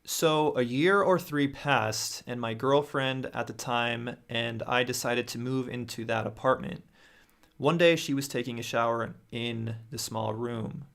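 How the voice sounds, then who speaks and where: A man's voice: mid-range voice, unhurried, creaky, fairly bright tone. A young man hosting a livestream at home, reading viewer stories aloud into a close studio microphone with frequent restarts. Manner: reads aloud with repeated restarts and reactions. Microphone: studio mic on boom near face.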